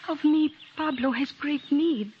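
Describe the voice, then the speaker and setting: An actress playing a mysterious young village woman of twenty, speaking formally and solemnly in an old-time radio drama. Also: sits high. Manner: formal, solemn, deliberate phrasing. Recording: dull, faintly noisy old radio recording.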